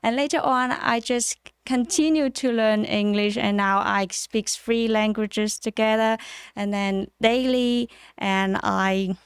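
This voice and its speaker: A woman from China with a Chinese accent and Cantonese as her first language, answering interview questions in a measured way with frequent ums and pauses. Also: fairly high pitch, steady tone, clean tone, no room sound, clean studio sound. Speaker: interview guest, MBA student. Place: in person at a summit.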